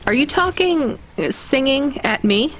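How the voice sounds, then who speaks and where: A woman's voice: sits high, lively intonation, a little slow, a bit bright. A woman playing a wry, conscientious young delivery driver in naturalistic, conversational video game dialogue.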